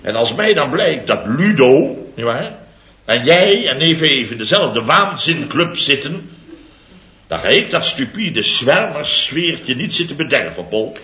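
A man's voice: big pitch swings, measured pace, pushed and bright, heavily acted. An actor playing a pompous, blustering company boss in his fifties, in a 1960s radio comedy. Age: 50s. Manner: bombastic, theatrical, swinging from booming to muttering. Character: pompous blustering fifty-something male building-firm boss. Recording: dull, roomy vintage radio recording.

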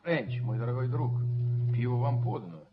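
An actor playing a fussy, snobbish, over-polite gentleman in a radio play, speaking with pompous, flowery courtesy. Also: mid-range voice, lively intonation, normal speed, lightly acted. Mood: obsequious. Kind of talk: theatrical radio drama acting. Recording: dull, noisy 1970s radio recording.

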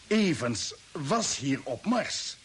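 An actor playing a questioning, sceptical spaceship commander in an old radio play, with halting repeated words. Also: male voice, a little high, big pitch swings, a bit bright, full character voice. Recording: hissy vintage radio drama recording.

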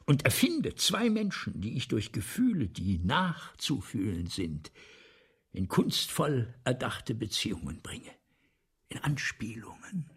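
A man's voice: mid-range voice, very animated, slightly rough, full character voice. An actor playing a vain, embittered playwright who scorns his audience, speaking with haughty weariness in a theatrical German radio drama. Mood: self-pitying, precious. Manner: haughty, weary, self-pitying, deliberate.

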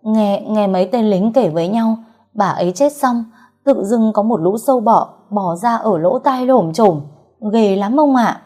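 A woman voicing a panicked young male servant who stammers and shouts his bad news. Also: medium pitch, a little slow, voice pushed up, natural voice.

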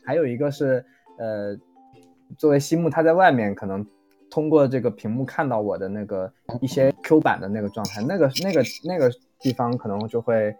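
A man in a casual group chat as a voice actor guest, speaking in hesitant, halting phrases. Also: medium pitch, lively intonation, dark tone, faint music behind.

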